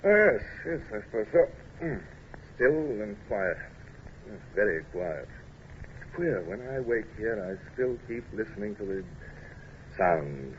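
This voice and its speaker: Gruff English naval captain, muffled old radio. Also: male voice, on the low side, very animated, unhurried, pauses often, a little hiss or hum. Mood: distracted, hesitant. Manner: brusque, clipped, hesitant when flustered. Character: proud, gruff, self-conscious English naval captain, restless ashore. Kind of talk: old-time radio drama acting.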